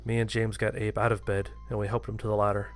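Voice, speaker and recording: A man's voice: fairly low pitch, little pitch movement, fast talker, faint music behind. A man reading a scripted first-person horror story as its narrator.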